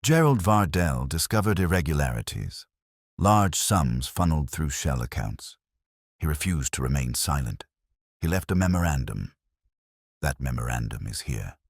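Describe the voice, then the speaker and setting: A man voicing a commanding, shrewd detective in an audiobook, speaking in deliberate, authoritative statements. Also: extremely low pitch, big pitch swings, no echo, clean studio sound, heavily acted. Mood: accusing, measured.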